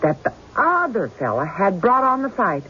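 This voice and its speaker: Anxious wife, lively swooping voice. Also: female voice, medium pitch, moderate pace. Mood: eager, excited. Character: anxious, devoted young wife of accused man. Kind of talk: radio drama acting. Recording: dull, noisy old radio broadcast.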